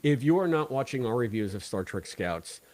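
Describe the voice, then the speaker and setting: A man's voice: medium pitch, animated, normal speed, a little mellow, dry recording. A man hosting a casual live podcast, bantering with jokey wordplay and a playfully theatrical delivery. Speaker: podcast host.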